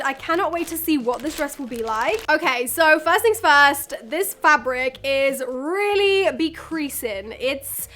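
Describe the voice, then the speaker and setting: A woman's voice: high-pitched, fairly bright tone. A young woman in her twenties reviewing dresses in a casual vlog, chatty and gushing, her voice throaty and nasal from a cold. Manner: chatty, gushing, frequent "like" fillers. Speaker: fashion YouTuber.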